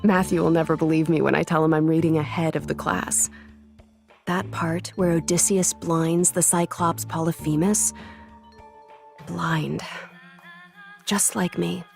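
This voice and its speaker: A woman's voice: sits low, steady tone, unhurried, vocal fry, pauses often, a little mellow, faint music behind. An American actress playing a wry, stubborn, self-reflective teenage girl in a naturalistic audio drama, speaking casually and bluntly. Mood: pleased, amused. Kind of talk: intimate, naturalistic audio drama monologue and dialogue.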